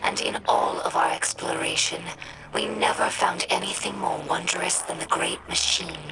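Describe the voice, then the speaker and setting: An actress playing an earnest young female alien scribe, narrating lore for a game in a measured, reflective way. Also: lowish voice, steady tone, noisy background, close to an everyday voice. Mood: reverent, wistful. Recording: music under the voice.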